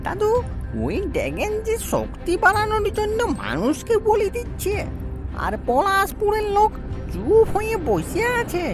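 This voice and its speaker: A woman acts a small, inquisitive cartoon child. The voice is extremely high and squeaky, swinging wildly in pitch, with clear music behind it. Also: wildly swooping pitch, a little slow, heavily acted. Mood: curious, puzzled. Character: curious, worried small child questioning grandfather. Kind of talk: cartoon story voice acting.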